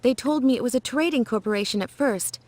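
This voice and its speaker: A woman's voice: fairly high pitch, fast talker, a little mellow, slight background noise, natural voice. An actress playing an earnest young woman in a science fiction video game cutscene. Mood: earnest, explaining.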